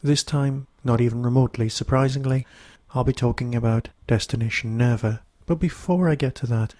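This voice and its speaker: A man hosting a podcast alone, talking to listeners in a reviewing style, his voice hoarse from a throat infection. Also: mid-range voice, brisk, dark tone, no room sound. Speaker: podcast host. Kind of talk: solo review talk to listeners.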